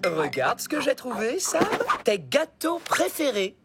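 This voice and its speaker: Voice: medium pitch, big pitch swings, unhurried. An actor voicing a scheming, bossy cartoon fox, with an urgent, wheedling and dramatic manner. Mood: coaxing, teasing. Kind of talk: cartoon dub acting. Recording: loud cartoon music behind the voice.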